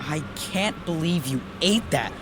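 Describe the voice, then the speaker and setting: Animated, squeamish schoolgirl in noisy surroundings. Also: low voice, unhurried. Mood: disgusted, worried. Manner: anxious, disgusted, exclamatory. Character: wary, squeamish schoolgirl friend. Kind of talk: children's horror audio drama acting.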